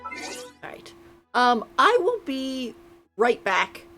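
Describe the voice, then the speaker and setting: A woman's voice: high voice, huge pitch swings, takes it slowly, bright, forceful tone. A woman chatting casually to live viewers as she streams a game. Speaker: game livestreamer. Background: game music.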